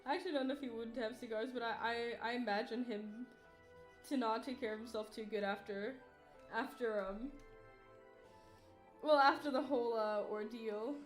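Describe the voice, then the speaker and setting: A woman's voice: fairly high pitch, steady tone, normal speed, pauses often, echoey room, faint music behind. A woman in her twenties chatting casually on a livestream while drawing, rambling, tired and yawning, swearing offhand and making self-mocking jokes. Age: 20s. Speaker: artist livestreamer.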